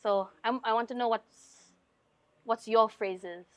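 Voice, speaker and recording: Fijian woman hosting, bright close voice. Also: on the high side, steady tone, takes it slowly, dry recording. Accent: Fijian English. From Fiji. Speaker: podcast host. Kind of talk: casual interview.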